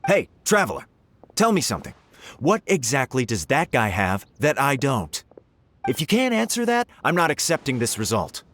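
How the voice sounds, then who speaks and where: A man's voice: mid-range voice, wildly swooping pitch, moderate pace, fairly bright tone. A man playing a testy, proud official in a video game, blunt and grumbling like a sore loser. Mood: sulky, indignant.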